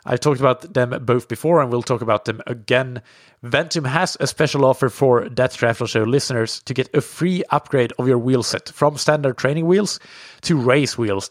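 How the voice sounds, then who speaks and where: A man hosting a podcast, reading sponsor messages and then interviewing a guest in a relaxed, conversational way with frequent fillers. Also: mid-range voice, dry recording, clean studio sound. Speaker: podcast host.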